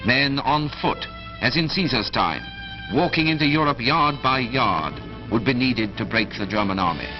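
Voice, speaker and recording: A man's voice: medium pitch, expressive, over music. Vintage 1940s newsreel man, dull film sound. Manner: solemn, emphatic, dramatic oratory with measured phrases. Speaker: newsreel narrator. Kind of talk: 1940s wartime newsreel narration, scripted.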